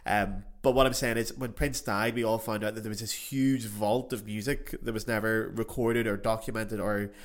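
A man with a softened, carefully enunciated Belfast accent hosting a casual podcast interview in a chatty, jokey, self-deprecating way. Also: on the low side, steady tone, normal speed, hardly any pauses. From Northern Ireland. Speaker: podcast host.

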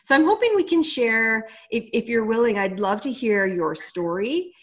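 A woman's voice: a little high, animated, normal speed. A woman hosting a casual conversational interview in an encouraging, reflective manner. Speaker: interview host.

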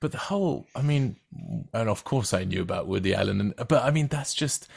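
A middle-aged actor, a man raised speaking Turkish, chatting as a guest, with halting speech full of restarts and fillers. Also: lowish voice, lively intonation, gravelly, breathy edge. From Turkey. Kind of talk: casual conversation.

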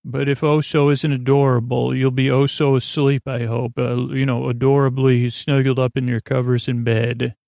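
Creaky, rambling sleep podcast host man. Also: medium pitch, level tone, a little mellow, no room sound. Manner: meandering, halting, full of restarts and tangents. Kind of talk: rambling late-night monologue.

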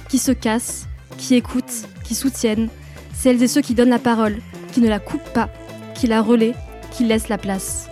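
Female podcast host from France, slightly high mellow voice, speaking personally and directly to listeners. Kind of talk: podcast introduction and interviewing. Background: music.

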